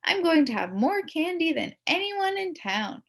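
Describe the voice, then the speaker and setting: Excitable friendly school lady acted by a woman, storybook, high, theatrical, very swoopy. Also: unhurried, exaggerated voice. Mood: inviting. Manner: gushing, theatrical.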